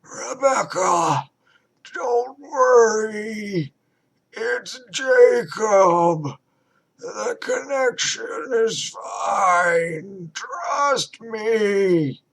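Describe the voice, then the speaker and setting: A man voicing a monstrous possessed young man in a horror story, desperate and obsessively devoted, calling out in a strained, slow, drawn-out voice. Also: sits high, expressive, gravelly, fairly bright tone, voice pushed up, exaggerated voice. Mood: desperate, insistent.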